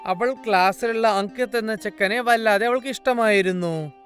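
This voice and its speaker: High-voiced man narrating a cartoon story. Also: normal speed, fairly bright tone, soft background music. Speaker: narrator and voice of several characters.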